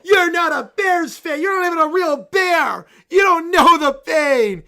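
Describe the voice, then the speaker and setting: A high, loud little bear boy in a full tantrum, wailing and shouting through tears, as a woman puts him on. His voice climbs shrill and cracks with sobbing, and he drags out his words. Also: animated, takes it slowly, gravelly, full character voice. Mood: furious wailing.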